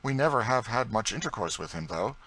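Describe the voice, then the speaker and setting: A man voicing a good-hearted, impulsive young gentleman from Kentucky in a storybook, frank, open and assured in manner. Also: mid-range voice, lively intonation, brisk, voice pushed up, rough recording. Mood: affable, casual.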